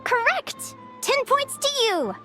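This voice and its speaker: A woman's voice: high voice, very animated, normal speed, over loud music. A woman voicing a cheery, encouraging young female advisor who lectures like a teacher in a video game. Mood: playful, encouraging. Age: young adult. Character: bright, upbeat young woman advisor teaching survival tips.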